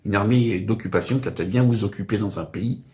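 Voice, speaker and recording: A man's voice: fairly low pitch, fairly quick, a little mellow. An older man from Paris, talking to camera in a casual, rambling monologue full of hesitant fillers. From Paris, France. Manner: hesitant, frequent "euh" and "hein" fillers. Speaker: video blogger. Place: home in the countryside.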